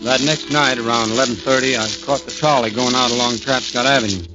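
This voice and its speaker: A man's voice: mid-range voice, fairly even intonation, quick pace, close to an everyday voice. Man acting a haunted condemned man, old radio thriller. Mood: reflective. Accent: American. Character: haunted, bitter condemned man telling his story, shy night garage man. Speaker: actor narrating and playing the lead. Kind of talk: 1940s radio thriller acting, first-person narration. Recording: dull 1940s radio recording, loud music behind.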